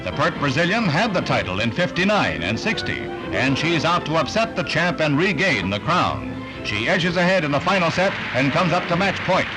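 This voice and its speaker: Animated 1960s American newsreel announcer, music underneath. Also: male voice, fairly high pitch, rough recording. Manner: brisk, punchy, dramatic announcer delivery. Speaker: newsreel narrator. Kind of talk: 1960s newsreel scripted narration. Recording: vintage newsreel soundtrack, loud music underneath. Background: loud music bed.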